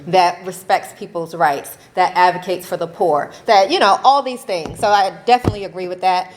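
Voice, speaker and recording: A woman advocate speaking on a convention panel, animated and laughing often, ending her points with "right?". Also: on the low side, normal speed. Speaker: faith-based advocacy panelist. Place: convention session room.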